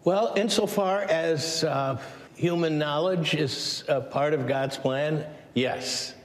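An older man, a church leader, answering interview questions in a measured way with hesitant fillers, filmed indoors. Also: a little high, in a large hall.

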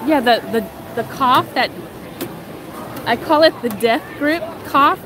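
A woman's voice: sits high, slow, noisy background. A woman with a slightly nasal voice, getting over a cold, chatting casually and laughing often as she walks through a crowded theme park, filming with a handheld phone. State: recovering from a cold, a little nasal. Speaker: vlog host. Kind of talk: casual live-stream chat while walking. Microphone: handheld phone camera while walking. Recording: loud crowd and park music behind. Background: crowd chatter and park music.

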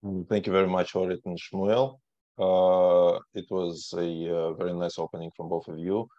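Deep-voiced, halting man moderating. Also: little pitch movement, no room sound. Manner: halting, searches for words. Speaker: webinar moderator. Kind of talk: unscripted moderating, handing over to speakers. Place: indoors, on a video call. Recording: slightly dull, dry video-call audio.

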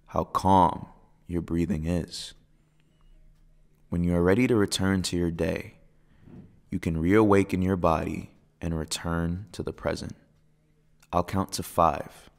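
A man guiding a breathing meditation in a soothing, gentle, measured way with pauses between phrases. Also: sits low. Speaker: meditation guide.

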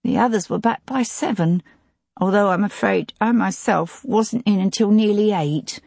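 A woman voicing a plump, tearful older housekeeper who talks on anxiously and pleadingly, with a breathy voice. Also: mid-range voice, moderate pace, a little mellow, dry recording, pitched above the performer's own voice.

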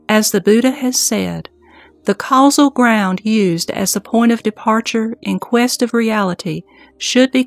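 A woman playing an earnest, reverent monk disciple in a formal, measured scripture audio drama. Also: medium pitch, no room sound. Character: earnest, reverent young monk disciple questioning his master.

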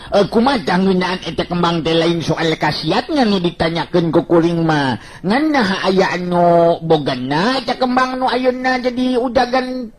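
A man voicing a cheeky young martial hero in a radio story, teasing and bantering excitably. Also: high voice, voice pushed up.

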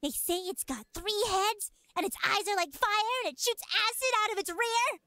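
A woman voicing a sweet, excitable innkeeper's young daughter in a video game, with childlike, dramatic eagerness. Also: extremely high pitch, moderate pace, no echo, clean studio sound, exaggerated voice. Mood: spooky excitement. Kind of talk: video game character acting.

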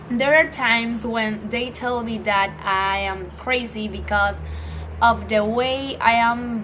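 A teenage girl from Ecuador, a native Spanish speaker, introduces herself to her phone camera in a small room. She speaks cheerful, halting English with an Ecuadorian Spanish accent and often stops to search for words. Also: fairly high pitch, background noise. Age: teens. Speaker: student vlogger. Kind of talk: casual self-introduction to camera. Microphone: phone front camera at arm's length.

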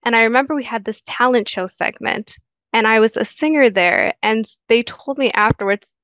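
A woman's voice: a little high, normal speed, a bit bright, no room sound. Young woman on a headset video call. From Guyana. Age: young adult. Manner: earnest, many fillers and restarts. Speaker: interview guest. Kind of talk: casual interview answers. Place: small room indoors. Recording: dull lo-fi video call audio.

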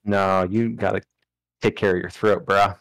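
A man's voice: low voice, steady tone, moderate pace, fairly bright tone, no room sound. A man playing in a tabletop game on a video call, chatting and interrupting to make a point. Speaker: player. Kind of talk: casual chat during a tabletop game.

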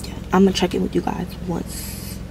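Casual American woman vlogger with creaky voice. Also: fairly low pitch, completely flat delivery, normal speed, background noise. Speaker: lifestyle vlogger. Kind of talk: casual vlog chat to viewers.

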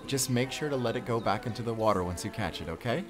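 A man acting a gentle, affectionate father and partner in an intimate audio roleplay, speaking softly and hesitantly. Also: mid-range voice, quick pace, music in the background, close to an everyday voice. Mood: fond, relaxed. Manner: soft, tender, hesitant.

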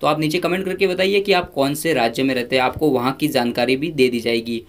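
A man's voice: medium pitch, a little fast. A male presenter giving an informal news voice-over, talking conversationally with many fillers and restarts. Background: background music.

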